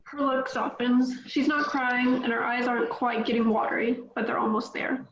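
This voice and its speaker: A woman reads stage directions in a fairly high voice, even and matter-of-fact. Her mic sounds dull and roomy, with a faint hiss under it. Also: normal speed. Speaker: narrator reading stage directions. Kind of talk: reading script action lines.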